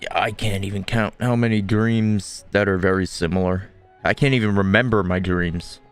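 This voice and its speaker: A man's voice: fairly low pitch, steady tone, normal speed, music in the background. A man giving casual, laid-back gameplay commentary, musing aloud as he reacts. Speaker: game streamer.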